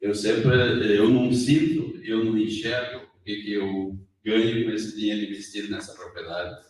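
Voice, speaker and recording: A man's voice: fairly low pitch, fairly even intonation, moderate pace, a little mellow. A man interpreting a lecture into Portuguese line by line, rephrasing and restarting as he goes. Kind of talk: consecutive interpreting. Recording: echoey hall, voice from far off.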